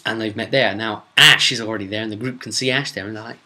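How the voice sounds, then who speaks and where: A man speaking as a game master, casually retelling a role-play session with emphatic, drawn-out words for suspense. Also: lowish voice, fairly even intonation, pushed and bright. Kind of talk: casual spoken storytelling recap.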